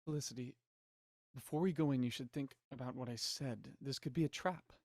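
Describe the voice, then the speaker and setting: A man's voice: medium pitch, brisk, a little mellow, no room sound, clean studio sound, natural voice. An actor playing an anxious, skeptical man who pleads and stammers in an audio drama. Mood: wary. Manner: hesitant, stammering.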